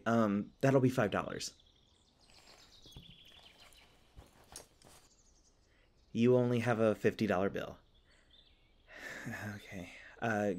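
A man's voice: lowish voice, a little mellow, close to an everyday voice. A man playing a polite, hesitant, folksy elf park ranger in an audio roleplay. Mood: flustered. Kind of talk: ASMR audio roleplay acting.

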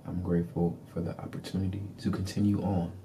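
Very low, mellow man guiding meditation. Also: a little hiss or hum. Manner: calm, deliberate, soothing instruction. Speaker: meditation guide. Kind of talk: guided meditation, short repeated phrases.

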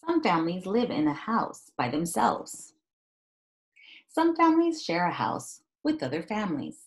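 A woman's voice: lowish voice, sing-song, unhurried. A woman in her thirties speaking as a teacher to young children, reading a picture book aloud and talking about it in simple phrases. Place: small indoor room at home.

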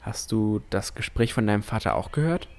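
A man's voice: lowish voice, expressive, a little fast, a little mellow. A man playing a cautious, earnest boy who narrates his own fantasy adventure in an audio drama. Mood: reflective narration. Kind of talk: first-person scripted narration and dialogue.

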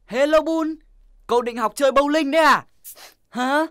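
A woman's voice: high voice, moderate pace, fairly bright tone. A woman voicing a boastful, burly kid in a children's cartoon, teasing and cocky. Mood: brash.

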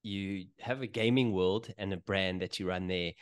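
A man's voice: sits low, fairly even intonation, moderate pace, clear, resonant tone, no echo, studio quality. A man in his forties hosting a casual video interview from a small home room, hesitant and full of fillers and restarts. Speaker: podcast host. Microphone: studio mic on desk, headphones.

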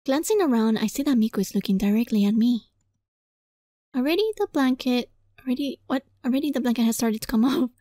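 A woman reading a visual novel aloud as a let's play, dramatic and playful, in a giggly, flustered, excitable manner. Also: a little high, brisk, no room sound, clean studio sound. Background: music.

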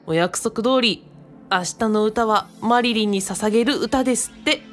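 A woman's voice: fairly high pitch, normal speed, faint music behind, close to an everyday voice. A woman playing a courteous, level-headed and slightly bashful young female assistant in a radio drama. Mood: polite, cheerful. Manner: courteous, animated.

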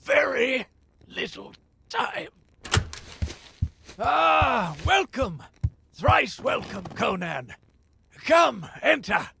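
An actor playing a frail, cursed philosopher in a fantasy audio drama, solemn and theatrical, with a gravelly, husky voice. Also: male voice, very high-pitched, big pitch swings, drawn-out words, long pauses, bright, forceful tone, a little hiss or hum, full character voice. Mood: urgent, welcoming. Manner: theatrical, portentous, archaic phrasing.

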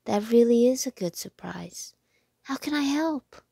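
An eager, helpful storybook boy, voiced by a woman reading aloud. The pitch is lifted and the words are emphatic. The sound is dry and close. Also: lively intonation, unhurried, vocal fry, mellow, breathy edge, no room sound, heavily acted. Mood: pleased.

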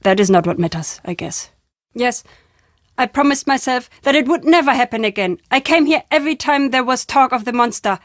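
An old wandering woman storyteller from a video game, played by an actress, with a voice on the high side. She speaks in unhurried, theatrical phrases with weighty pauses, both playful and wistful. The sound is dry, with no room around it. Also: animated, dry recording, natural voice. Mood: moved, regretful. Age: older. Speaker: game character.

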